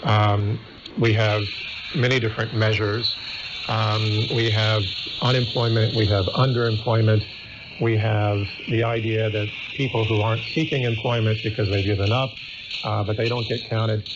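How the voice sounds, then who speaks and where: A man, a professor giving deliberate, pause-filled commentary in a radio interview. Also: lowish voice, level tone. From United States. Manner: slow, deliberate, pausing phrasing. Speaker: professor as panel guest. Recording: muffled shortwave radio, heavy static. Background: loud shortwave static.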